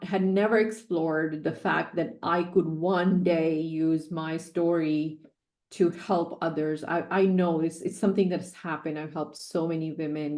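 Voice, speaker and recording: A woman's voice: sits low, darkish tone, reverberant. A woman in her forties telling her personal story as a podcast guest, earnest and repeating key phrases for emphasis. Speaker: guest, sales coach.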